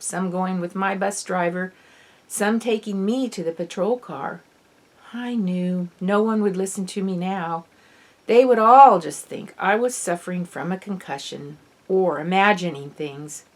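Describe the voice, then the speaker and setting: A woman reading expressive scripted narration from a novel as an audiobook. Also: fairly low pitch, unhurried. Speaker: author reading own novel.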